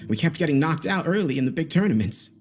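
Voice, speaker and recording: A man's voice: on the high side, expressive, fast talker, pitched above the performer's own voice. A man voicing a nervous, defensive young male gamer under interrogation in a dramatic audio story. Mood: defensive, agitated. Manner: agitated, defensive, self-justifying. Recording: background music behind the voice.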